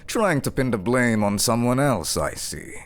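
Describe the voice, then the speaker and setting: An actor playing a scheming duke in a fantasy audio drama, with a pompous, theatrical, taunting delivery. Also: male voice, mid-range voice, lively intonation, normal speed, close to an everyday voice. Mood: mocking, accusing. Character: scheming, sneering duke, a smooth-tongued villain.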